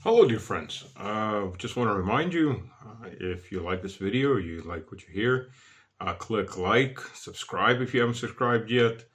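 A man's voice: fairly low pitch, expressive, normal speed, fairly bright tone. A man doing a friendly, easygoing channel promo and sign-off, with lots of fillers. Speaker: channel host.

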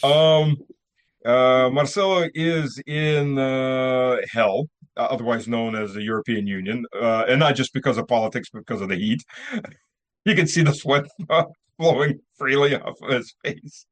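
A man's voice: mid-range voice, a little slow. A man hosting a casual interview show over a video call, joking and rambling with lots of fillers. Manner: jokey, rambling with many fillers. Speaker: show host.

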